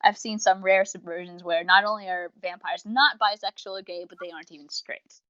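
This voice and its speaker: A woman's voice: medium pitch, brisk, no echo. A talkative young woman co-hosting a casual fan discussion, rambling along and acting out quotes as she goes. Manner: talkative, rambling, animated retelling with acted-out quotes. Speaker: podcast co-host and reviewer. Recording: dull lo-fi voice recording.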